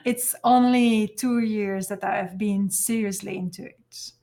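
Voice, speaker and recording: A woman's voice: mid-range voice, fairly even intonation, measured pace, mellow. French-accented Belgian woman, chatty. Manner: chatty, laughs often, searches for words. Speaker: podcast guest, streamer. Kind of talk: casual interview chat.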